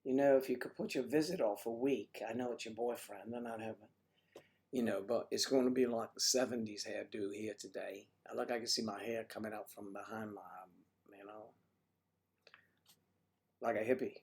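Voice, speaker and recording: Hoarse older man joking with viewers. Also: fairly low pitch, steady tone, moderate pace. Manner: teasing and flirty, often drops to a soft mutter. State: hoarse, losing his voice. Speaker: livestream host. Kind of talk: casual livestream chat with viewers.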